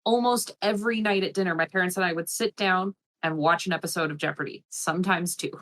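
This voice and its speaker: A woman's voice: lowish voice, fast, a bit bright. A woman plays along as a contestant on a comedy podcast. She trades dry, wry quips with the others. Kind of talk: casual comedy podcast chat.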